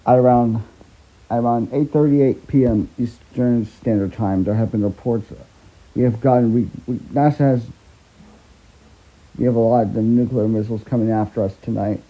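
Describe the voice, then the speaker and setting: A man playing a grave president in an amateur emergency broadcast drama, halting and repeating his phrases. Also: steady tone, close to an everyday voice. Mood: grave, resigned. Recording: dull, muffled low-fi broadcast audio.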